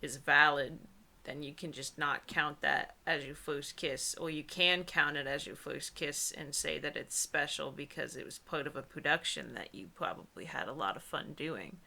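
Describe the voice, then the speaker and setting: A young woman in casual chat and mocking read-aloud, animated and opinionated, with sarcastic asides. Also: low-pitched, moderate pace, vocal fry. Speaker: co-host of a comedic book-reading show. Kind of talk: casual chat mixed with mocking dramatic reading.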